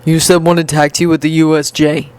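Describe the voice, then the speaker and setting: A narrator voicing a calm teenage boy hero student with a steady, questioning manner. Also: mid-range voice, a little fast, clear, resonant tone, close to an everyday voice.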